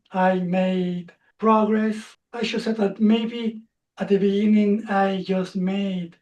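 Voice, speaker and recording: A man in his forties, speaking English as a second language, telling his story as a coach guest in a reflective, halting way. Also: high-pitched, dark tone, recorded from a distance, poor audio. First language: not English. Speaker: social anxiety coach. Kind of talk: interview guest, personal story.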